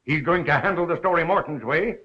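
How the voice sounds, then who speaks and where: An actor playing an exasperated, sarcastic newspaper boss in a 1930s film, brisk and scolding. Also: male voice, a little high, close to an everyday voice. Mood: insistent. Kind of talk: 1930s Hollywood film acting. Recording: dull 1930s film soundtrack.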